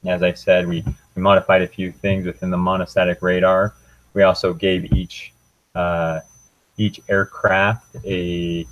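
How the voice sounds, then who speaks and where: A young man giving an informal technical presentation as a student, walking through a software demo in a hesitant, halting way. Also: sits low, highs cut off.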